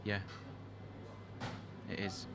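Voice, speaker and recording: An actor playing a young racing driver in a video game, reserved, polite and sincere, speaking in short, restrained replies. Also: male voice, drawn-out words, background noise. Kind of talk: naturalistic video game cutscene acting.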